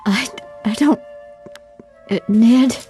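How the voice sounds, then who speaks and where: An actress playing a grieving, stunned daughter in an audio drama, emotional and halting. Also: female voice, on the high side, warm tone. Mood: stunned, tearful. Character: grieving grown daughter stunned by her mother's secret. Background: sentimental theme music.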